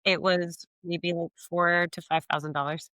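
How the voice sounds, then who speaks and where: A woman's voice: fairly low pitch, little pitch movement, normal speed, a bit bright, no room sound. A young woman founder answering interview questions thoughtfully, often saying "like" and acting out her own past thoughts. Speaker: app founder, guest.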